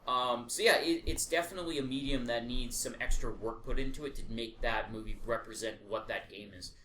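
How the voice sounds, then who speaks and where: A man's voice: mid-range voice, a little fast, bright, forceful tone. A man co-hosting a casual podcast, explaining his opinions at length with the odd swear word. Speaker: podcast co-host. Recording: echoey room with faint background noise.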